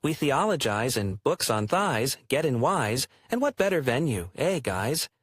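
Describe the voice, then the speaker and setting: A man's voice: medium pitch, big pitch swings, unhurried, slightly rough, no room sound, studio quality. A man performing theatrical spoken-word poetry in a wry, declamatory way.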